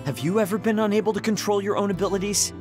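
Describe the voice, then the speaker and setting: An actor playing a proud, insecure young soldier in an anime video game, curt and defensive. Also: male voice, high voice, fast talker, natural voice. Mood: brooding, confessing. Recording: loud music under voice. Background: game soundtrack music.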